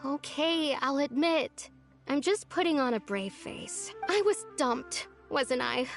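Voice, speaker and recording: Young woman in a game, high voice, gentle, earnest, wistful, faint music behind. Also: takes it slowly. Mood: hurt, brave. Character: wistful young woman putting on a brave face. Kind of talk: video game voice acting.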